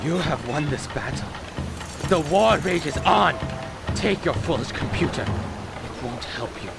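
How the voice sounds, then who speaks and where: Arrogant game villain: slightly high, gravelly voice, pompous theatrical menace, over music. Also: male voice, animated, measured pace. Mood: cold threatening. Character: arrogant, menacing villain lord of dark arts. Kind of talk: video game cutscene acting.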